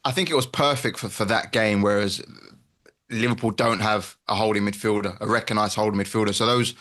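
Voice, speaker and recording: A man with a slightly low voice talks tactics on a football panel. His style is conversational and analytical, like a pundit explaining to colleagues. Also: steady tone, brisk, a bit bright.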